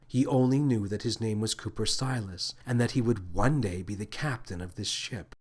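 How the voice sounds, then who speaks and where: A man voicing a gentle, wise female alien officer with a soft, soothing, measured delivery in an audio story. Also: lowish voice, husky. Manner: calm, measured, thoughtful.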